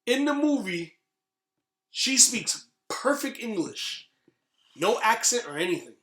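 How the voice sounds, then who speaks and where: A man's voice: very high voice, very animated, takes it slowly, fairly bright tone. A man in his thirties chatting casually and opinionatedly as a podcast co-host, emphatic and repeating phrases for stress. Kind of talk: casual opinionated movie chat. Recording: roomy, echoey sound.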